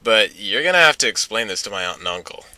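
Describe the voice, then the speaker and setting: A man's voice: lowish voice, big pitch swings, quick pace, pushed and bright, dry recording, exaggerated voice. A man voicing a laid-back, bewildered stoner teenage boy in an offhand, hesitant way for a comic story reading. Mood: vague, agreeable.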